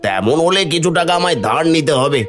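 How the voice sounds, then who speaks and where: Man acting a humble, highish-voiced poor father. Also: expressive, a little fast, natural voice. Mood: worried resolve. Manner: pleading, earnest. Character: humble, anxious, impoverished middle-aged father-in-law. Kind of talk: Bengali cartoon voice acting.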